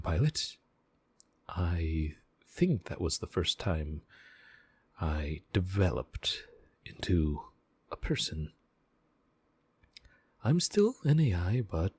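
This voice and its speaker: A man voicing a gentle, devoted sentient mech AI in a slow, measured audio roleplay, speaking directly to the pilot. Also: low-pitched, husky, exaggerated voice. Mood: tender, confessional. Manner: measured, deliberate, reassuring, with pauses. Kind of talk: slow scripted audio roleplay, addressing the listener.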